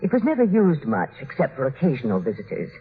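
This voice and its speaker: A woman's voice: very deep voice, huge pitch swings, quick pace, full character voice. A coldly formal, menacing housekeeper in old radio drama. Her deferential lines move through a wide melodic range. The sound is narrow and faintly noisy, like an aged broadcast. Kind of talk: 1950s radio drama acting.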